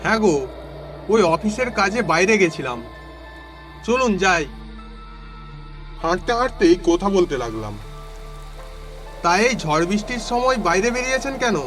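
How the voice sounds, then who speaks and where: A man's voice: high-pitched, big pitch swings, unhurried, long pauses, a bit bright, faint music behind, heavily acted. A man voicing a village traveller who narrates his suspenseful walk home as a dramatic first-person audio story. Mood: friendly, calling. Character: male villager walking home through a storm, narrating.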